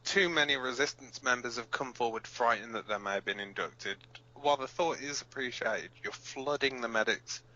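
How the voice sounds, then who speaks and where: A man's voice: medium pitch, pushed and bright, muffled recording. A man playing a blunt, cynical resistance fighter who co-hosts an underground broadcast in an audio drama. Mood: exasperated. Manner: blunt, sardonic. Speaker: radio show co-host.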